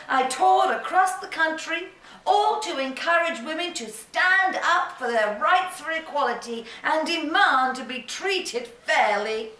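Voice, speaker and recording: A woman's voice: high-pitched, bright, forceful tone, heavily acted. A woman playing a spirited, reminiscing old suffragist. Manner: chatty, reminiscing, laughs often. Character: spirited older woman suffragist, proud, warm-humored. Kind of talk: theatrical monologue in character. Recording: roomy sound from a distance.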